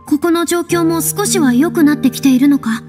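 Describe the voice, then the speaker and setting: An actress playing a proud yet earnest young woman in a video game, speaking in a measured, theatrical, formal way. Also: high-pitched, darkish tone, lightly acted. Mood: earnest, subdued. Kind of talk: Japanese video game voice acting. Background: faint music.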